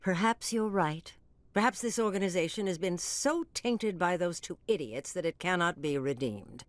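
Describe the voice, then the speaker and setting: Low-pitched, calculating crime boss played by an actress. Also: female voice, animated, no echo, lightly acted. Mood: calculating, threatening. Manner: formal, measured, smooth-talking and veiled in threat. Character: cool, calculating female crime syndicate boss.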